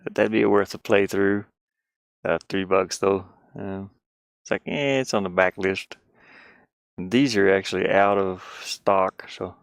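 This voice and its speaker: Low-voiced man rambling on a casual stream. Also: fairly even intonation, vocal fry, clean tone, no echo. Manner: rambling, thinking aloud, halting. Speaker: game streamer and indie game developer. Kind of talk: casual livestream chat.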